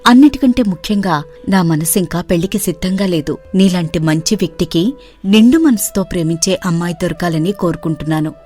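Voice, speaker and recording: A woman voicing a wise, composed young village woman who speaks in a measured, polite and thoughtful way, in an animated story. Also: on the low side, faint music behind, close to an everyday voice. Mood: gentle.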